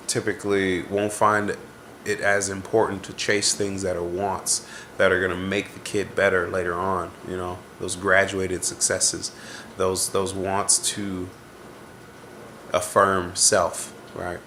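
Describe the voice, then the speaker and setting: Vlogger, young man, low gravelly voice, stuffy nose, rambling and introspective. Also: little pitch movement, measured pace, a bit bright, a little hiss or hum. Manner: rambling, introspective, searching for words. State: congested. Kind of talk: casual reflective venting to camera.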